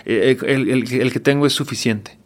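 A Mexican actor in his forties chatting in an interview, relaxed and frank, with slang and frequent tag questions. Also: medium pitch, moderate pace. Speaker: actor and guest. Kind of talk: casual interview chat.

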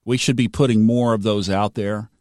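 A low-voiced man hosts a casual podcast chat, recorded close and dry with no room sound. He talks earnestly and with upbeat warmth as he reflects on a point. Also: fairly even intonation, normal speed, dry recording. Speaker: podcast host.

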